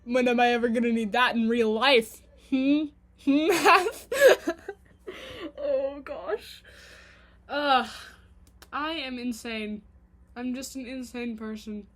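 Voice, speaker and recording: A young woman with a Canadian accent rambles to her camera at home, chatty and self-mocking. Her voice sits high, and quick giggly asides break up her talk. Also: a bit bright. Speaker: vlogger. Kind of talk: rambling casual monologue to camera.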